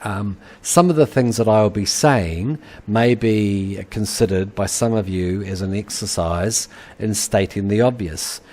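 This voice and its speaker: A middle-aged man with a New Zealand accent, giving a recorded webinar lecture and pausing often with uhs and ums. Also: on the low side, warm tone. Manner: halting, many uhs and ums. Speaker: university lecturer.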